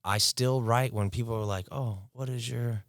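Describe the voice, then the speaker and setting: A man's voice: medium pitch, steady tone, moderate pace, no room sound, studio quality. An American man co-hosting a podcast, talking in a laid-back, casual way and swearing freely. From United States. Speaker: podcast co-host. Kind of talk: casual chat.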